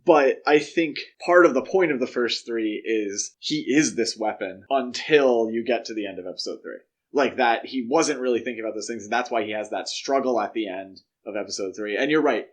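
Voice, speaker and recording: A man with a mid-pitched voice hosts a podcast. He talks casually and offers his opinions in a measured, conversational way, often softening them as he goes. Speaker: podcast host.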